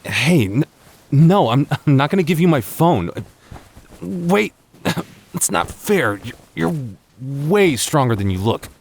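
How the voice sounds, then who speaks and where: A man's voice: on the high side, sing-song, slow pace, vocal fry, heavily acted. A man playing a flustered, lovestruck young man in a boyfriend roleplay audio, stammering and bashful. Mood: playful, breathless protest. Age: young adult. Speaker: voice actor.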